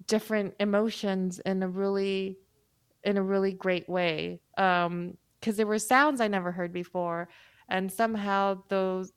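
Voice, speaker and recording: A woman musician speaking as a guest in a casual panel talk, thoughtful and halting, with many fillers and restarts. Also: medium pitch, clean tone, no room sound, studio quality.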